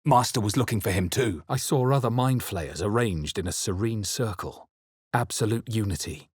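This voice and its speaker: A man playing a grave fantasy game adventurer, with solemn, measured, dramatic delivery as he narrates his journey. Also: fairly low pitch, lively intonation, breathy edge, no room sound, clean studio sound. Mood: solemn, intent. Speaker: video game player-character voice.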